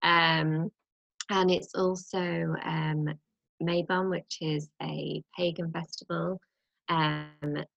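A young British woman chatting as a podcast co-host, her voice low and gravelly with a slight cold croak. She rambles along with plenty of fillers, recorded close and dry with no room sound. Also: no echo, dull audio. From Britain. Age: young adult. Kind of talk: casual chat.

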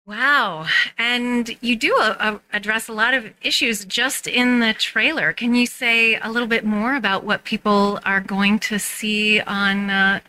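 A woman podcast host in casual interview mode. Her voice is bright and forward, with a conversational delivery. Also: on the high side, steady tone, measured pace, hardly any pauses, clear, resonant tone, rough recording.

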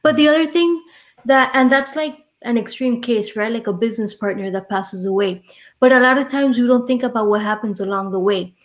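A woman's voice: on the high side, fairly quick. A woman from Miami with a Miami accent, a guest on an interview podcast, explaining insurance in a friendly, conversational way with many "right?" asides. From Miami, Florida. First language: Spanish.

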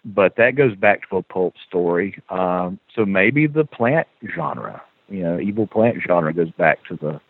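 A man's voice: low-pitched, lively intonation, unhurried, hardly any pauses, no echo. A man with a Southern US accent, a guest author in a casual podcast chat, who talks animatedly and chuckles as he tells stories. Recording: tinny phone-line audio.

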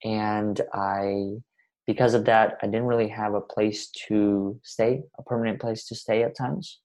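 A man's voice: fairly low pitch, fairly even intonation, measured pace, a little mellow, low-quality recording. A man in his twenties, originally from Russia, talking earnestly in long, winding sentences as a podcast guest. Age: 20s. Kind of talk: reflective interview talk.

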